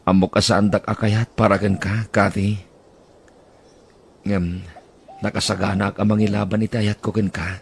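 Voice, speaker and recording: A voice actor playing a gentle, devoted young man who speaks low, softly and earnestly in a radio-style drama. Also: flat delivery, normal speed, vocal fry, long pauses, husky, heavily acted. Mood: earnest, pleading. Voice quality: deep, low and hushed. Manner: tender, earnest pleading.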